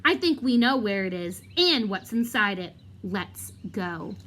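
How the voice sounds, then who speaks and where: A woman's voice: mid-range voice, big pitch swings, measured pace, fairly bright tone, a little hiss or hum. A woman voicing an earnest, indignant schoolboy wizard with a direct, bold manner in a storybook reading. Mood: commanding. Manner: direct, incredulous.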